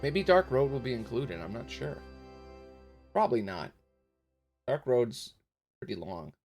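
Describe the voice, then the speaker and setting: Guy streamer doing jokey reactions, medium voice, really animated, music in background. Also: mid-range voice, measured pace. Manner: jokey, sings nonsense syllables and exclaims. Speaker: streamer reacting to a fan dub. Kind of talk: casual reaction commentary. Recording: music behind the voice.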